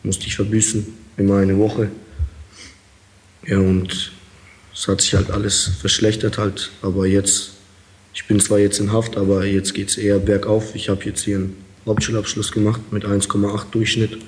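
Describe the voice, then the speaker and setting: A man's voice: on the low side, level tone, normal speed, a little mellow. A young man from Kazakhstan answering interview questions as an inmate, hesitant with many fillers. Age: young adult.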